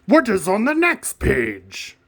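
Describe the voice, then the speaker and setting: A man voicing a lovable, easily frightened furry monster in a children's storybook puppet show, with a raspy voice and melodramatic delivery. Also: high voice, wildly swooping pitch, fairly bright tone, heavily acted. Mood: excited, alarmed. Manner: melodramatic, drawn-out, emphatic delivery without contractions.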